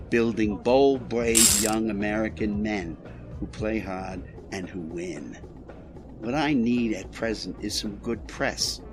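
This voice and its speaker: An actor playing a gruff, blustering, slangy club boss in a 1920s-set radio drama. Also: male voice, lowish voice. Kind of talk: old-time radio drama acting.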